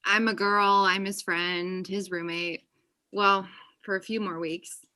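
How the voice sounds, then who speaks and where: A woman acts out an overenthusiastic thirty-year-old woman in a comedy script. Her voice is bright and sharp at a middle pitch, full of chatty, possessive best-friend energy. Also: flat delivery, takes it slowly. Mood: indignant. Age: young adult. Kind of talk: comedy table read. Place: indoor room on a video call. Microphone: video call.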